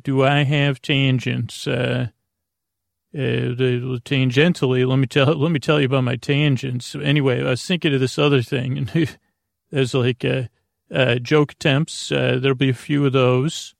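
A man with a creaky voice hosts a bedtime podcast, meandering haltingly in a lulling, self-deprecating monologue. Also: medium pitch, steady tone, no echo, clean studio sound. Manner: lulling, soothing. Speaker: podcast host.

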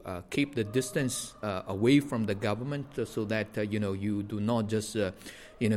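A man's voice: lowish voice, a little hiss or hum. A man from China, an academic and government advisor, answering interview questions with frequent hesitations and filler sounds.

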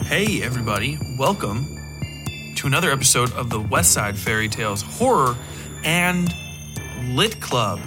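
A man hosting a podcast, chatting casually and rambling in a halting, conversational way. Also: medium pitch, very animated, music in the background. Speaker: podcast host. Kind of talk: casual rambling solo chat.

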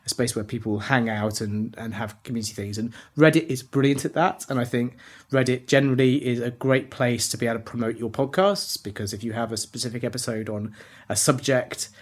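A man's voice: mid-range voice, fairly even intonation, brisk. Opinionated man on a podcast panel. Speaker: podcast presenter. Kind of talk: casual panel discussion.

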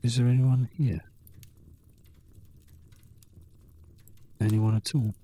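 An actor playing a weary, drunken old wanderer in a fantasy audio drama, recounting his bitter youth in a brooding, halting way with a husky voice. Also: medium pitch, level tone, mellow, lightly acted. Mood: uneasy.

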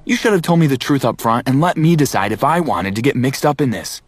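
A man's voice: fairly low pitch, animated, brisk, a bit bright, slight background noise. An actor playing a bitter, wounded young man who narrates his own thriller dramatically in the first person. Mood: angry, accusing.

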